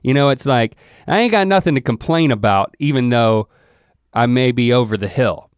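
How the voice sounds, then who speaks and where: An easygoing man in a casual chat, talking in a relaxed, philosophical way. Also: mid-range voice, normal speed, clean tone, no room sound, clean studio sound.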